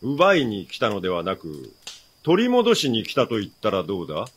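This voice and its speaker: A powerful old-world nobleman in a radio drama, with a low voice. He speaks in formal, archaic phrases, smug and certain that he knows everything. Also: huge pitch swings, normal speed, husky, heavily acted. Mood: lordly, knowing. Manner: formal archaic lordly speech. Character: powerful nobleman villain, smug, all-knowing, courtly.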